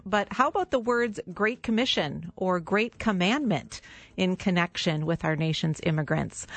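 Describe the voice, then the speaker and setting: A woman's voice: mid-range voice, a bit bright, dry recording. Norwegian Minnesotan woman hosting radio. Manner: friendly, laughs easily, reads the guest's introduction. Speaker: fill-in radio host.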